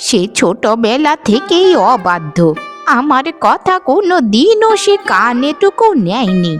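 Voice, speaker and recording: A woman's voice: sits high, big pitch swings, measured pace, faint music behind. A woman voicing an aggrieved elderly village grandmother in a cartoon, plaintive and reproachful. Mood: lamenting. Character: aggrieved elderly village grandmother, scolding her wayward son. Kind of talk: cartoon voice acting in a moral story.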